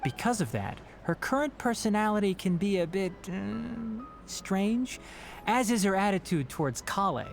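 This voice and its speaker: A caring, sensible young video game scholar who explains things precisely. The delivery is measured and reassuring, with animated intonation, and music plays behind it. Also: male voice, high-pitched, vocal fry. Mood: explanatory.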